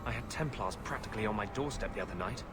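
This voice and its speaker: An actor playing a brooding, impassioned rebel mage in a video game, earnest and intense. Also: male voice, on the low side, fast talker, heavy background noise, close to an everyday voice. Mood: bitter, worried. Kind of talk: dramatic video game voice acting.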